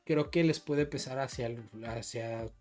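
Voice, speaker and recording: Man, mid-pitched voice, casual podcast co-host, hesitant and repetitive as he reasons, faint music behind. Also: measured pace, darkish tone. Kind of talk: casual football discussion.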